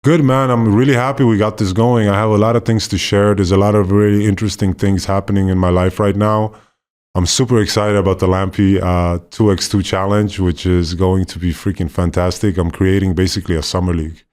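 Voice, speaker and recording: A man from Poland co-hosting a casual podcast, talking in a relaxed, rambling and enthusiastic way. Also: sits low, fairly quick. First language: not Polish; left Poland at five. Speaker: podcast co-host, former basketball player.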